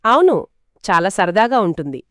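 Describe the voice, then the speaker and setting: A woman narrates a story as one of two hosts, with a lively, swinging melody in her mid-pitched voice. She explains in an expressive, conversational way, often affirming her partner. The sound is close and dry. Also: normal speed, dry recording. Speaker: storyteller host. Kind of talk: conversational moral storytelling in a two-host dialogue.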